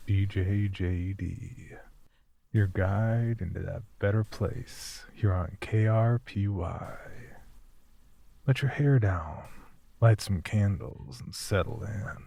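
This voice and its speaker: An actor playing a seductive late-night radio DJ in an audio drama, drawling and gravelly. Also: male voice, dark tone, no echo, heavily acted. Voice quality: gravelly, low and slow.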